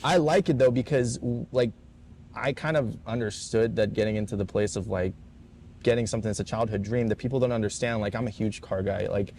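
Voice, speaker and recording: A man with a low, mellow voice talks loosely in a casual podcast chat, rambling and piling up fillers. He is recorded in a warehouse, and faint noise sits behind him. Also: brisk. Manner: rambling, heavy with fillers and swearing. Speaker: podcast co-host.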